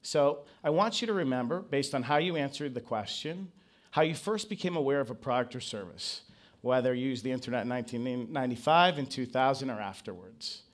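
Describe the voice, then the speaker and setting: A man's voice: medium pitch, lively intonation, fairly quick. A man speaking English as his first language, giving a conference presentation and drawing the audience in with questions. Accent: non-Brazilian English accent. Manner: engaging, asks the audience to raise hands. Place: conference stage.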